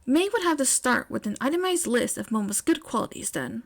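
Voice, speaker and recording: Female audiobook-style reader, highish voice, expressive fiction narration. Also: fairly quick, creaky. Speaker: fan fiction podfic reader. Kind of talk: expressive scripted story narration.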